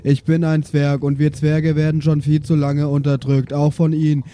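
A man's voice: a little high, monotone, normal speed, warm tone, close to an everyday voice. A man playing an indignant, stubborn dwarf who protests in rambling sentences, in an improvised fantasy audio play. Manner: rambling, protesting, matter-of-fact. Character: indignant, stubborn male dwarf demanding respect.

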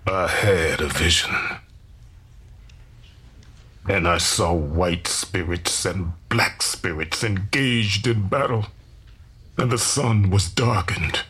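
An actor playing a solemn, visionary enslaved preacher in his thirties, confessing deliberately in scriptural phrases with a gravelly, husky voice. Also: sits low, fairly bright tone, exaggerated voice. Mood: fervent, prophetic. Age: 30s. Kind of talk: dramatized first-person confession.

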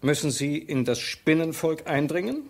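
Grave, dramatic radio-play professor. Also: male voice, fairly high pitch, expressive, moderate pace. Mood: grave, urgent. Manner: solemn, dramatic, declaiming. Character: grave male scientist professor explaining a desperate plan. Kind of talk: 1980s German children's radio play acting.

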